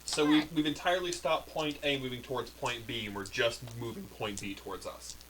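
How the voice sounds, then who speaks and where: A man's voice: medium pitch, fairly even intonation, brisk. A man playing a starship captain in a tabletop game, giving orders between hesitant fillers. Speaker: player. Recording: faint noise.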